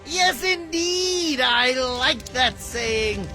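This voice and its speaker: An actor voicing an excitable, pompous little king in an animated film, with a gushing, theatrical, stammering delivery. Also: high voice, drawn-out words, faint music behind, exaggerated voice. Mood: giddy, pleased. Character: excitable, pompous cartoon king of tiny folk.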